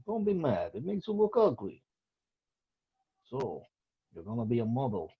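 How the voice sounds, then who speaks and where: A man's voice: mid-range voice, wildly swooping pitch, moderate pace, slightly rough, full character voice. A man reading the part of a scruffy, teasing, reckless 28-year-old boyfriend in an amateur script table read. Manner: flippant, needling. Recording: dull lo-fi recording.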